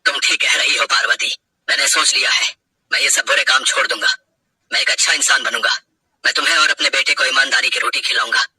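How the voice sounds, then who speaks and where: A vengeful bandit, acted by a man, with a very forceful, sharp edge and a heavily rasping voice. He speaks with bitter menace in dramatic story style, close and dry. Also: mid-range voice, fairly even intonation, brisk, dry recording, exaggerated voice, poor audio. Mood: remorseful, resolved. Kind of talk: dramatic Hindi audio story acting.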